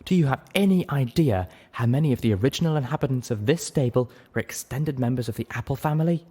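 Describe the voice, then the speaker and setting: A man's voice: mid-range voice, lively intonation, quick pace, dark tone, slightly rough. Guy reading as annoyed pony lady, huffy, scolding, audiobook character. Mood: argumentative. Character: two arguing adult pony mares, exasperated, scolding.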